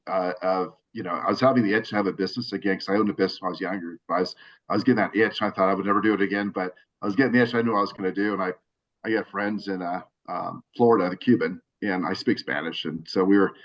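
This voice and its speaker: A man's voice: sits low, a bit bright, highs cut off. A man talking casually in an interview over a video call, rambling with many restarts and fillers. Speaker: cigar brand owner.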